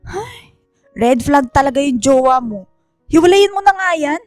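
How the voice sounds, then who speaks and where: A blunt young Filipina friend with a high voice. She is teasing, chatty and full of gossip. The radio drama sound is dry, with music underneath. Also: lively intonation, moderate pace, a little mellow, no echo. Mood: teasing, disapproving.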